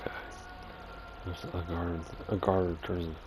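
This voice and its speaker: A man's voice: low voice, completely flat delivery, unhurried, music in the background. A man giving casual gameplay commentary, interjecting with brief reactions. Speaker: gamer playing through a story quest.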